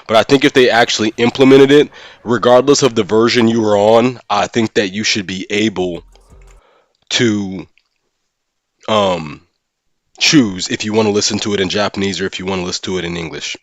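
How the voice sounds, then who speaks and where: A man with a very deep voice hosts a casual gaming livestream. His talk is slangy and conversational, often checking in with listeners. The sound is close and dry, with very little room in it. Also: normal speed, dry recording. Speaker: streamer and host of a gaming channel.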